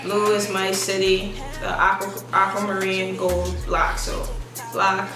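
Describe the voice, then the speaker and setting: A young woman, a musician answering interview questions in a soft, relaxed, rambling way. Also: mid-range voice, a little slow. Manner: soft-spoken, relaxed, loose rambling phrasing. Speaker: musician guest. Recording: echoey room from a distance, music behind.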